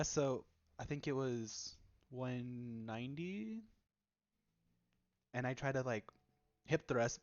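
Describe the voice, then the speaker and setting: A young man telling stories in a casual, sweary chat with friends, halting and defensive as he banters. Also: medium pitch, no room sound, highs cut off. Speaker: podcast host.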